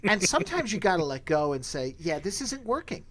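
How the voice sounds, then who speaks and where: A man's voice: sits high, big pitch swings, fairly quick, crowd noise. A man hosting a podcast, giving his opinions in a casual, emphatic way and repeating phrases for stress. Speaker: podcast host.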